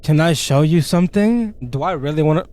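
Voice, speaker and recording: A man commentating on a playthrough as he goes, reading game text aloud and reacting in a slangy, incredulous, expressive way. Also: fairly high pitch, brisk, no room sound. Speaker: gaming video creator.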